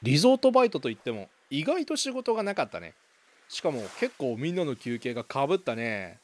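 A man's voice: fairly high pitch, sing-song, brisk, a little mellow. A man playing an upbeat young university student who narrates and chats in an amateur radio drama. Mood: casual. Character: upbeat young male university student recounting summer memories.

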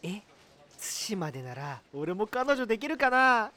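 A man playing an excitable, lazy, girl-starved university student with a whiny manner in an amateur radio drama. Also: sits high, measured pace, full character voice.

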